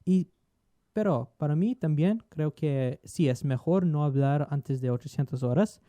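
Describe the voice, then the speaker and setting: Man, language learner, dark dry voice, hesitant. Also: a little high, moderate pace, no room sound. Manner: hesitant, repeats words, searching for phrasing. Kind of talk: casual self-recorded talk.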